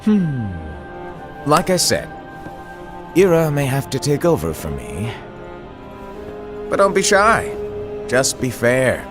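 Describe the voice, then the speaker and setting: A man's voice: medium pitch, sing-song, slow pace, long pauses, over music. A man playing a playful, eccentric master in a video game cutscene, teasing, casual and theatrical.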